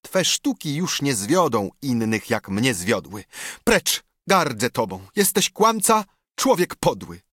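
A man voicing a passionate, theatrical noblewoman who has been jilted, speaking with melodramatic, shrill outbursts. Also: very animated, a little slow, a bit bright, breathy edge, no echo, studio quality, full character voice. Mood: furious.

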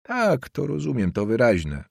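A man's voice: mid-range voice, huge pitch swings, unhurried, gravelly, darkish tone, no room sound, clean studio sound, pitched above the performer's own voice, exaggerated voice. A man voicing a fiery young male student who argues earnestly. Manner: earnest, heated.

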